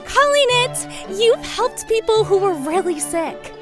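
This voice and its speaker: Very high-pitched bubbly young girl. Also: a little slow, music in the background, exaggerated voice. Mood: excited, gushing. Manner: gushing, excitable, exclamatory. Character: bubbly, sweet, energetic young girl who loves colors. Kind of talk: fan audio drama acting.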